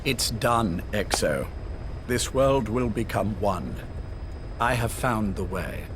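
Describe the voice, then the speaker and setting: Over a loud engine-like rumble, an older spymaster from a dramatic video game. His voice sits fairly low. He lectures with cold, formal assurance, measured and visionary. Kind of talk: scripted dramatic game dialogue.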